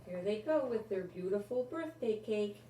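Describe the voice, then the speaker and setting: An older woman, a grandmother, reads a children's story aloud with deliberate, expressive delivery and adds her own asides. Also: medium pitch. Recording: roomy, distant microphone, faint background noise.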